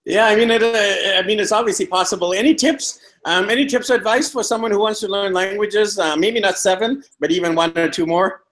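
A man with a high-pitched voice hosts a casual interview over a video call. He talks in a chatty way, full of fillers and restarts. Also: fairly quick, hardly any pauses, fairly bright tone.

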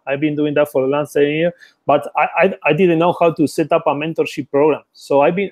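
A man's voice: on the high side, a little mellow, no room sound. A man from Argentina, a native Spanish speaker with an Argentine accent, talking earnestly as a guest over a remote call, with restarts and non-native phrasing. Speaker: guest entrepreneur. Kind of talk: casual interview chat.

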